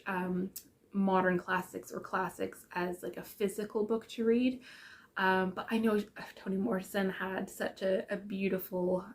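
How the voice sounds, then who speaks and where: A young adult woman with a congested, croaky voice from a sinus infection, chatting casually about books with many ums and self-corrections. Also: mid-range voice. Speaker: book vlogger. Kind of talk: casual book chat.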